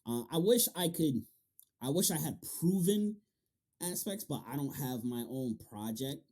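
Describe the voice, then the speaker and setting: A man's voice: on the high side, expressive, measured pace, darkish tone. A man hosting a casual interview, talking in loose slang and swearing freely. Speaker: podcast interviewer and manga reviewer.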